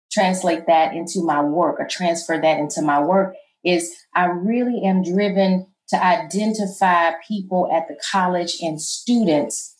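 A middle-aged woman with a soft, low voice, guesting on an interview and talking in a calm, measured way that turns emphatic at times. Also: reverberant, poor audio. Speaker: college president, guest. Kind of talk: interview conversation.

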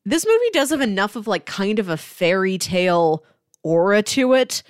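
Woman, mid-pitched voice, podcast co-host, casual film discussion, thoughtful, conversational, frequent "like", dry recording. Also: big pitch swings, normal speed, no room sound.

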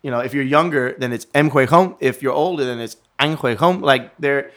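A man in casual chat, telling travel stories in a lively, self-deprecating way with frequent swearing. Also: medium pitch, a little fast. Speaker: traveler in conversation.

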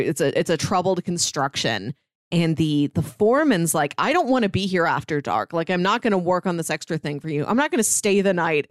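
A woman co-hosting a podcast, chatting casually and retelling a story in an expressive, conversational way. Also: on the low side, no room sound, clean studio sound. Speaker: podcast co-host.

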